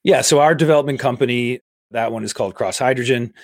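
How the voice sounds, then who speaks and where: A man in his forties from Massachusetts, a guest explaining his work in a steady, measured way during an interview. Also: mid-range voice, no echo. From Massachusetts, USA. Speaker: guest, infrastructure investor. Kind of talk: conversational interview.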